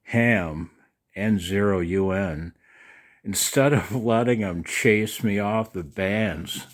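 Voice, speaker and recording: An older man talking casually and in a rambling way to his viewers as a video host, folksy and halting, with a gravelly voice. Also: lowish voice. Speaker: amateur radio operator and video host. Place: outdoors in the cold.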